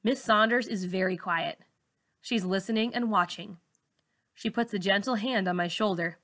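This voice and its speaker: A woman's voice: medium pitch, moderate pace, a bit bright. A woman narrating a first-person novel aloud, as a teacher reads to a class.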